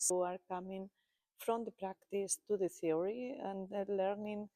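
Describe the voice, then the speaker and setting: A woman hosts a podcast interview, posing questions in a soft-spoken, hesitant way. Her voice is mellow and dark in tone. The recording is dry, with little room sound. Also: medium pitch, fairly even intonation, a little slow, no room sound. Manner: hesitant, many fillers and restarts, soft-spoken. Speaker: podcast host. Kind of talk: casual interview conversation.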